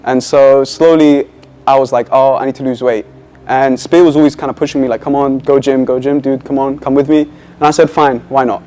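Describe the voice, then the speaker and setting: A man's voice: mid-range voice, fairly even intonation, brisk, dark tone. A young man talking casually and admiringly about a longtime friend in an interview. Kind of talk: interview testimonial.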